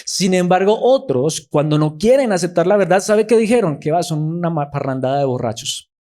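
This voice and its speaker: A man preaches in a fairly high voice, rising and falling as he acts out the people he quotes. He fires rhetorical questions at his listeners. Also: animated, fairly quick, mellow. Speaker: pastor. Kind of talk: sermon.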